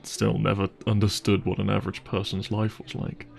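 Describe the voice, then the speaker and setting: A man playing a wealthy, caring young boyfriend in an intimate audio roleplay, speaking in a gentle, hesitant and reassuring way. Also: lowish voice, level tone, normal speed, vocal fry. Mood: earnest, explaining.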